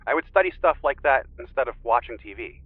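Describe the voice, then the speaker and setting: An actor playing a mellowed, gently teasing Zen teacher, a guest heard through a call filter in an audio drama. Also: male voice, medium pitch, expressive, fast, dry recording, narrow, tinny audio. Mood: wry. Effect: remote call filter. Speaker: podcast guest. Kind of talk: audio drama phone interview. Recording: band-limited, radio-like call sound.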